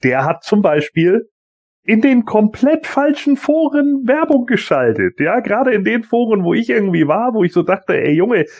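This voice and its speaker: A man's voice: sits high, very animated, moderate pace, darkish tone, dry recording, studio quality. A man in a casual podcast discussion, speaking colloquially and emphatically with frequent tag questions. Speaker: podcast panelist.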